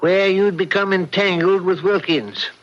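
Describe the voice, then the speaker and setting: An actor playing a concerned, cautious husband in an old-time radio mystery, deliberate and insistent. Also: male voice, high voice. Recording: dull, band-limited radio drama recording.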